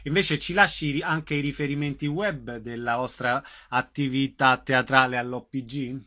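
A man's voice: mid-range voice, steady tone, moderate pace, sharp tone. A man hosting a radio interview, asking questions and making announcements.

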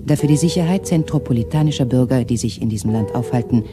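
An actor playing a male radio announcer who reads a special bulletin in a formal, even manner. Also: medium pitch, quick pace, loud music behind the voice, natural voice. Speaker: radio newsreader.

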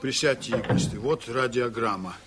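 A stern ship's captain played by a male actor in a Soviet radio drama. His mid-pitched voice is deliberate and formal, giving orders with composed authority. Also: very noisy, lightly acted. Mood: calm, firm. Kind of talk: 1980s Soviet radio play acting.

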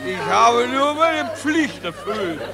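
Casting: cold-blooded officer, high unhurried voice, smugly dutiful, radio satire with crowd behind. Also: male voice, animated, exaggerated voice. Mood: smug boasting. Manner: self-satisfied, callous. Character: smug, cold-blooded Austrian officer proud of executions. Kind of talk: satirical radio play acting. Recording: crowd and outdoor hubbub behind.

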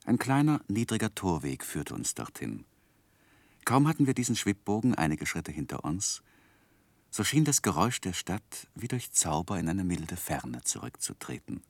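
Polite young Victorian gentleman narrator, very deep and animated, earnest radio-play storytelling, dry studio sound. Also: dry recording, full character voice. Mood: reflective, narrating. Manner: measured, literary, earnest. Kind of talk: 1960s German radio drama, literary narration.

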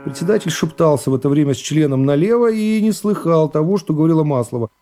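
A man narrating a literary work for radio in a measured, expressive dramatised style. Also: a little high, big-room sound.

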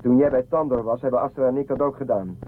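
A man's voice: medium pitch, fast talker, natural voice. A man playing a wry, wary starship crewman in a science-fiction radio drama, a little hesitant in his phrasing. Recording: narrow-band audio with faint noise.

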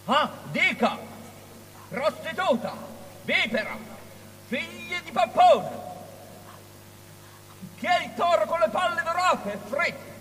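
An actor playing a raving, abusive prophet, ranting and declaiming curses in a theatrical radio drama. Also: male voice, very high voice, very animated, takes it slowly, pauses often, pushed and bright, full character voice. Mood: furious. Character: raving prophet hurling vulgar insults and curses. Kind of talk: avant-garde theatrical radio drama acting. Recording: distant, long hall reverb, hum.

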